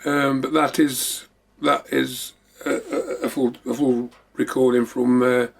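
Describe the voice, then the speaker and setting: A man's voice: mid-range voice, little pitch movement, measured pace, vocal fry. A man hosting a memorabilia show, chatting casually in a rambling, repetitive way. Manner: rambling, repeating himself. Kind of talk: casual show-and-tell chat.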